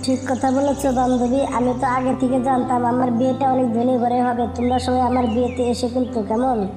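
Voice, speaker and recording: A woman's voice: fairly high pitch, little pitch movement, brisk, close to an everyday voice. A woman voicing a vain, mocking young female bird in a cartoon, in a boastful, complaining manner. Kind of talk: cartoon character dialogue. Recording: roomy echo with music behind.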